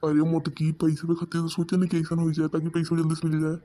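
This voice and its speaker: A man's voice: a little high, completely flat delivery, fast, warm tone, close to an everyday voice. A man voicing a stubborn, scheming village husband in a comedy cartoon, grumbling and explaining himself in an Awadhi accent.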